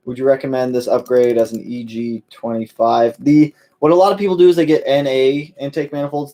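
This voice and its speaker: Brief: male car-channel host, relaxed live Q&A, filler-heavy and friendly, mid-range voice. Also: mid-range voice. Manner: laid-back, many fillers, calls viewers "my man". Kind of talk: casual live-stream Q&A.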